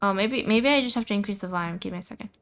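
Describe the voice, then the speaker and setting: A woman's voice: mid-range voice, very fast, gravelly, fairly bright tone. A woman live streamer chatting casually about a game, often repeating phrases for emphasis. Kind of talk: casual gaming stream chat.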